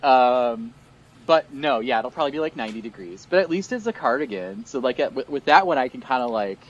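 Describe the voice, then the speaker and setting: A man of ordinary middle pitch co-hosts a relaxed podcast chat, his voice worn as he is losing it. He rambles along with plenty of fillers and restarts. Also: fairly quick, muffled recording. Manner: chatty and rambling, many fillers. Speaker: podcast co-host. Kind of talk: casual chat.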